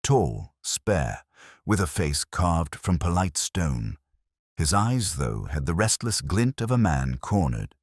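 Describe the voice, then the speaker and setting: A man's voice: deep voice, very animated, slow, no echo, clean studio sound. A man reading a scripted hardboiled detective story as an audiobook narrator.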